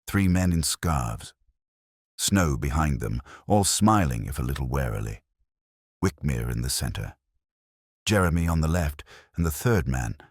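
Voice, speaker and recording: Man acting as weary older detective, very low voice, expressive pitch swings, wry, measured, brooding, audio drama narration, dry close sound. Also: breathy edge, dry recording, exaggerated voice. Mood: wary, reflective. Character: weary, wry, reflective older male Oxford police inspector. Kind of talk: literary first-person audio drama narration.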